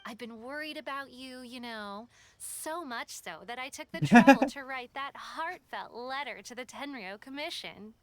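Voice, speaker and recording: Game casting: sarcastic, demanding female detective woman acting, fairly high voice, proud and pointed, slight noise behind. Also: moderate pace, hardly any pauses, lightly acted.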